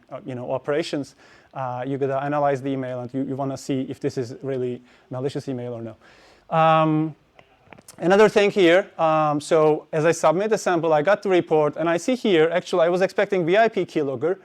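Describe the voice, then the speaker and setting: A man's voice: fairly high pitch, darkish tone. Hesitant man presenting. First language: Turkish. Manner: hesitant, many fillers and restarts. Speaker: conference speaker. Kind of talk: technical conference talk.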